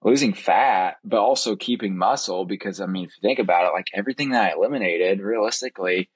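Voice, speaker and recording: A young man with a fairly low voice talks informally and openly as a podcast guest. He is talkative and conversational, and the sound is close and dry with very little room. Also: fast talker, breathy edge, dry recording. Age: young adult. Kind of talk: casual conversational personal storytelling.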